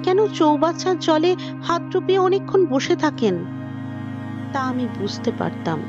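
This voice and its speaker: A woman's voice: sits high, big pitch swings, moderate pace, muffled recording. A woman voicing a tender, motherly wife who narrates her married life reflectively and intimately in an audio story. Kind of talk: dramatised audio story narration in first person. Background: prominent music.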